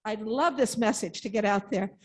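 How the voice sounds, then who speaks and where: A woman's voice: medium pitch, moderate pace, darkish tone. An elderly woman sharing heartfelt, unscripted thoughts at a church gathering in an earnest, emphatic way. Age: 70s or older. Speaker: congregation member responding to a message.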